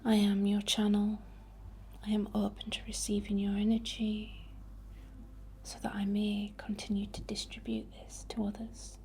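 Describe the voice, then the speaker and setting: A woman whispering a ritual ASMR incantation in a breathy, deliberate way. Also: medium pitch, completely flat delivery, darkish tone. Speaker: ASMR witch and Reiki healer.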